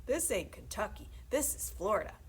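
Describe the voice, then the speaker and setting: A woman's voice: mid-range voice, lively intonation, normal speed, husky, pitched above the performer's own voice. A woman voicing a crude, taunting rural Southern schoolboy bully in a storybook reading, jeering and mocking.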